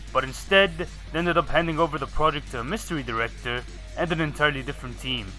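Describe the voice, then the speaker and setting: A man's voice: mid-range voice, bright, forceful tone. A man narrating a scripted video game review in a sardonic, casual way.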